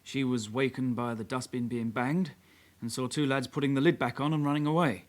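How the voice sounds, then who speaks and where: Earnest young male detective constable, mid-pitched actor. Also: steady tone, brisk, lightly acted. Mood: dutiful. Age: young adult. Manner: courteous, hesitant, matter-of-fact. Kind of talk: naturalistic radio drama acting.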